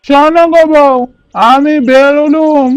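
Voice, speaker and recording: An actor playing a poor, kind-hearted village labourer in a cartoon, speaking lines in an earnest, plaintive, musing way. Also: male voice, very high-pitched, flat delivery, a little slow, soft background music. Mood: earnest, resolved. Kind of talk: cartoon voice acting.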